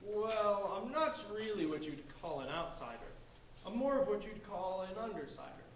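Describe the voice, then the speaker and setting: A man's voice: high-pitched, expressive, moderate pace. A man playing a polite, bookish fifteen-year-old outsider with an earnest, wordy manner in a staged play reading. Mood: philosophical. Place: large echoey indoor room, distant microphone. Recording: echoey room from far off, faint noise.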